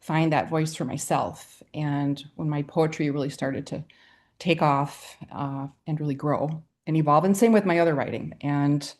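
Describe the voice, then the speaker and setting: A middle-aged woman from Wisconsin speaks as an interview guest. Her voice sits low, and she answers in an earnest, reflective manner. Light laughter slips into her speech now and then. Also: steady tone, moderate pace, a little mellow. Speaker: guest poet and writer.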